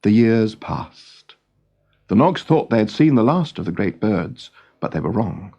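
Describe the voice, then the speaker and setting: A man reading a scripted children's story in a measured, expressive storytelling manner. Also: fairly low pitch, vocal fry, breathy edge.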